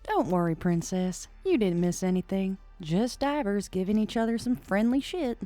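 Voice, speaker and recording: A woman's voice: medium pitch, animated, moderate pace, mellow, soft background music, close to an everyday voice. A woman voicing a wry, teasing, casually foul-mouthed female adventurer in an audiobook. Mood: wry mockery. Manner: casual, sardonic. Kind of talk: audiobook character acting.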